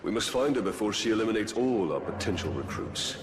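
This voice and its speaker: Video game fantasy warrior: low, solemn, formal and calculating, echoing voice over music. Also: male voice, very animated, brisk, heavy background noise. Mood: grave. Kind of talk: video game cutscene acting. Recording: echoey voice with music behind.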